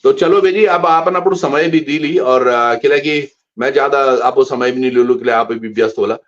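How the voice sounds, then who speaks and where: A man's voice: medium pitch, moderate pace. A man hosting a live stream interview, asking long questions. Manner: asks long, searching questions. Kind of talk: casual interview. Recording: dull lo-fi live stream audio.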